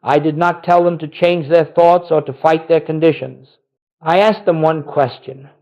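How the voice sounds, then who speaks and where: A man with a fairly high voice narrates as a spiritual teacher. He speaks solemnly and gently, setting out short, deliberate fragments in a scripted sermon style. The recording is slightly dull and lo-fi. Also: fairly even intonation.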